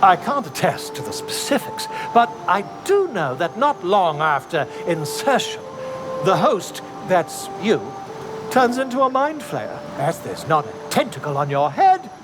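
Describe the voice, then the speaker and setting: Florid fantasy-game scholar, pompous and excitable. High, swooping delivery over clear outdoor noise. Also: male voice, unhurried. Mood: smug, lecturing. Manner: theatrical, florid, self-important. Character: pompous, excitable male self-styled scholar of monsters. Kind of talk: fantasy video game acting.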